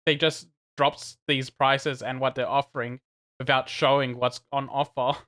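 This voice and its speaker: A man co-hosting a gaming podcast, lively and talkative, with a bright, forceful tone at a middling pitch. He restarts his sentences often and laughs between his points. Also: measured pace. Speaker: podcast co-host. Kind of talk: casual podcast chat.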